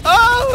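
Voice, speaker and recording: A man in casual, exclamatory gaming chat with friends, excitable as he talks. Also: very high voice, vocal fry. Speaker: gamer playing with friends on voice chat. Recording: game voice chat under loud music. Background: music and game vehicle sounds.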